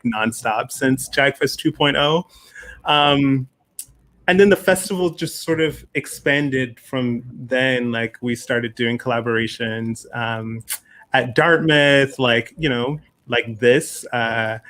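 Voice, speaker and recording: Man, festival co-host, informal, loose phrasing, medium pitch. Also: fairly bright tone. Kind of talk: casual conversational talk. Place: online video call.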